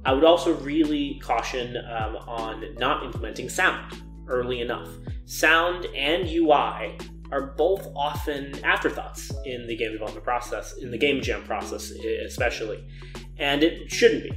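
A young man speaks as an organizer giving practical advice in an informal talk. His voice sits at a medium pitch, and he leans earnestly on emphatic words. The room adds a clear echo, with a little music underneath. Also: moderate pace. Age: young adult. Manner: earnest, emphatic, repeating intensifiers. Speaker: game jam organizer giving a keynote talk. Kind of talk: informal advice lecture to camera. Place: living and dining room at home, indoors. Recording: roomy indoor echo.

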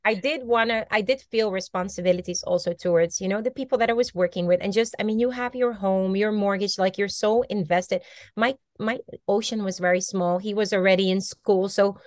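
A woman in her forties, a native Dutch speaker, telling her story with animated gestures over a video call from a bright room at home. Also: mid-range voice, fairly quick, no echo, studio quality. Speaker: guest, mindset coach. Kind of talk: casual video-call interview. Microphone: video call laptop mic.

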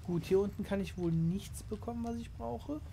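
A man hosting a gaming channel, chatting casually through his play and explaining it to viewers, his voice slightly hoarse from a cold. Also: high voice, normal speed, warm tone, slight background noise. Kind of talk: casual let's play commentary.

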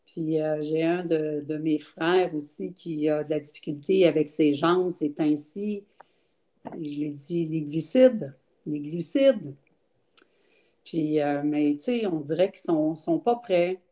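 Woman, older, Quebec French accent, frank, filler-heavy, mellow low voice, webcam audio. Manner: frank, emphatic, many fillers. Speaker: interview guest. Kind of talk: casual testimony. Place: home room, indoors. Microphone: laptop webcam on video call.

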